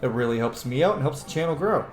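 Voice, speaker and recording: A man's voice: mid-range voice, expressive, fast talker. Sweary male gamer, roomy sound. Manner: sweary, self-mocking. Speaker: gaming video host. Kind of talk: casual game commentary.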